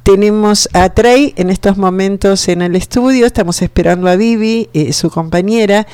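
A woman's voice: medium pitch, moderate pace, darkish tone, smooth, dry recording. A woman hosting a radio programme, introducing guests in a conversational way. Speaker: radio show host. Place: radio studio. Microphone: studio microphone.

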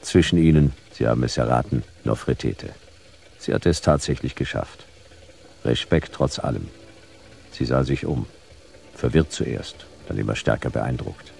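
An actor playing a laconic, sardonic private detective who narrates a German sci-fi radio play in clipped phrases with a gravelly voice. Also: male voice, rumbling low, moderate pace, darkish tone. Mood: wry, observant. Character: hard-boiled sci-fi private detective narrating, dry, wry. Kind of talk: noir first-person radio play narration.

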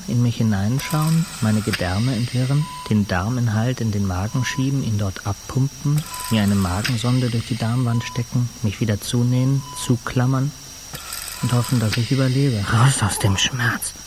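A man's voice: mid-range voice, noisy background, natural voice. An actor playing an anguished hospital patient in a radio drama, telling his ordeal in fragmented, introspective first person. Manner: slow, fragmented, introspective, sometimes whispering. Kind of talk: radio play monologue, scripted first-person narration.

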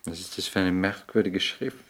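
A suave aristocratic seducer in a 1960s radio drama. His voice sits very low. He is urbane and languid, delivering cynical wit like polished aphorisms. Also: fairly even intonation, normal speed, fairly bright tone. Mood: suave, playful. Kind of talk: 1960s German radio play acting.

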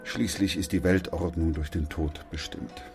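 A man playing a sober, weary, steadfast doctor in a German radio play, speaking in a grave, measured, reflective way. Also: low-pitched, steady tone, over music. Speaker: actor playing the narrator and lead.

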